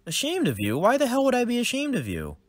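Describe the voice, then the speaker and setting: A man puts on a squeaky, excitable teenage boy, nerdy and eager, firing off high questions. The recording is close and dry, with no room sound. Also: quick pace, dry recording, heavily acted. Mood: surprised. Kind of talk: homemade comedy skit.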